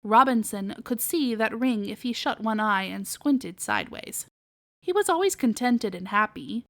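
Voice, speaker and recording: A woman's voice: a little high, animated, a little slow, creaky. A woman narrating a children's storybook aloud as a podcast storyteller.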